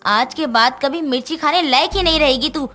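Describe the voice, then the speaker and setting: Woman acting a bright, high-voiced young daughter-in-law. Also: fairly quick, faint music behind. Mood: fierce, vengeful. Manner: dramatic, swings from meek pleading to menace. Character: put-upon young daughter-in-law, tearful, turning fierce when possessed. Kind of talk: Hindi moral-story cartoon dubbing.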